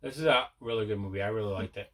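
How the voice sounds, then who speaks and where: A man's voice: lowish voice, rapid-fire, a bit bright. A man hosting a casual mail-day video about his movie collection, talking in a repetitive, enthusiastic way.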